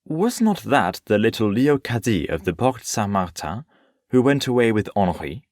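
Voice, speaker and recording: Smooth-mannered worldly noble, low pitched, unbothered, bit condescending, audio play, no room echo. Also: male voice, sing-song, moderate pace, darkish tone, clean studio sound. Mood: relaxed. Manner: urbane, patronising. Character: worldly, amused, unruffled Parisian nobleman.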